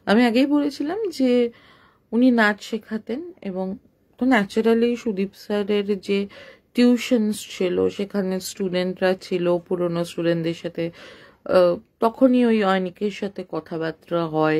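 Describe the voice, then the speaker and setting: A woman's voice: mid-range voice, unhurried, a little mellow. A woman telling a ghost story in an unscripted, conversational way, explaining and rephrasing as she goes, with English words mixed in.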